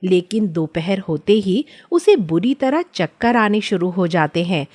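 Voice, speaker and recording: A woman's voice: medium pitch, big pitch swings, measured pace, darkish tone. A woman reading scripted children's story narration.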